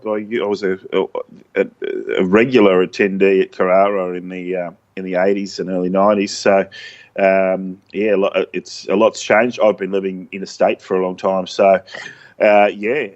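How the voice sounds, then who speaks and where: Middle aged aussie bloke co-hosting, stumbles a lot, low voice, muffled lo-fi sound. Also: male voice, a little mellow. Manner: halting, many restarts and stumbles. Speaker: podcast co-host. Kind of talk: casual sports podcast chat.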